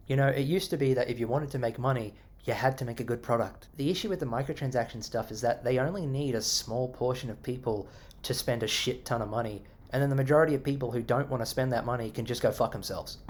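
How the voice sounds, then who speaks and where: An Australian man casually answering viewer comments, animated and sarcastic with plenty of swearing. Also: mid-range voice. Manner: animated, expressive, sarcastic and profane.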